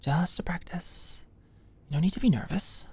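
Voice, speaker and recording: A woman's voice: lowish voice, measured pace, warm tone, husky, exaggerated voice. A young woman playing an anxious, friendly medical student in an ASMR roleplay, chatty and hesitant, easily flustered. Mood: nervous self-reassurance. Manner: hesitant, chatty, nervous, conversational. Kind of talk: ASMR roleplay, acted casual dialogue.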